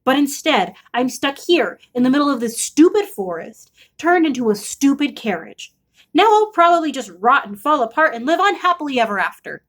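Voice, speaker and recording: A woman's voice: high voice, very animated, normal speed, a bit bright. A woman voicing an earnest young frog prince from a storybook, pleading and easily exasperated. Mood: self-pitying. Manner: breathless pleading, exasperated emphasis.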